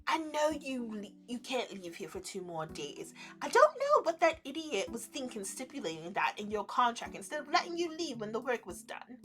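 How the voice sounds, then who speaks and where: A woman voicing a reserved, serene male winter spirit in a romance game, speaking in a measured, earnest, formal way. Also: fairly high pitch, very animated, fairly bright tone, soft background music, pitched below the performer's own voice. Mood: earnest reassurance.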